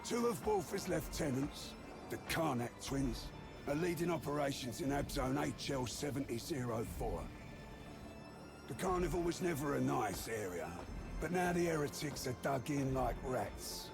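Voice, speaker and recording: An actor playing a gruff, no-nonsense soldier who briefs his squad in a video game, blunt and colloquial. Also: mid-range voice, lively intonation, moderate pace. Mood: brisk, determined. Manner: blunt, colloquial, barking orders. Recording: music behind.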